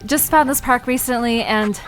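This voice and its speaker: A woman's voice: fairly high pitch, normal speed, soft background music, natural voice, rough recording. A woman playing a nervous, curious young woman in an audio drama, flustered and stammering as she talks.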